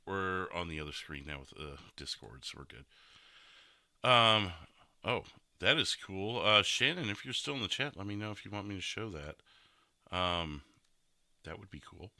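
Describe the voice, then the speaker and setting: A man hosts a livestream chat in a casual way. His voice sits fairly low but is very bright and forward, recorded dry and close. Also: animated, measured pace, long pauses, no room sound. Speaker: streamer hosting a podcast.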